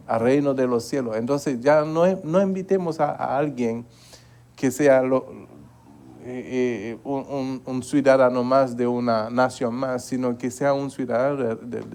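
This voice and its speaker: A man teaches from the Bible in an earnest preaching voice. His tone is dark and mellow, with a gravelly edge. He often doubles back on his words and checks that his listeners agree. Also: medium pitch, steady tone, unhurried. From Haiti. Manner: earnest, repeats words, asks the congregation to agree. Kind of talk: Bible study sermon.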